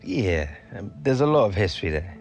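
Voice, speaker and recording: An actor playing an earnest, modest young English star footballer in a video game cutscene, speaking casually. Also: male voice, low voice, very animated, fairly quick, creaky, exaggerated voice. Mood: guarded, reflective. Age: young adult. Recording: loud music behind the voice. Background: music.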